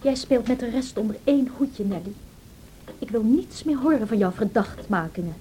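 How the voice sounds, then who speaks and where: An old, slightly hissy radio drama recording of an actress as a lovestruck young lady. Her soft-toned high voice rises and falls sharply, full of naive emotion and pleading. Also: animated, normal speed, natural voice, low-quality recording. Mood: defiant.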